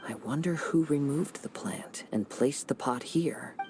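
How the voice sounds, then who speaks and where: Soft-creaking, calm young samurai. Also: male voice, on the high side, in a whisper. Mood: reflective. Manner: calm, measured, thoughtful. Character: gentle, reflective young wandering samurai man. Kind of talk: anime video game voice acting.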